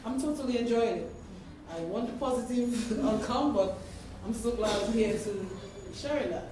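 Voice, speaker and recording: A woman with a fairly high voice shares a personal account in a group discussion. She tells it in an animated way. The recording is very echoey, picked up from a distance, with clear noise. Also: normal speed. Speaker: community member speaking at a gathering. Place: echoey indoor room. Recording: very echoey room, distant mic, noisy.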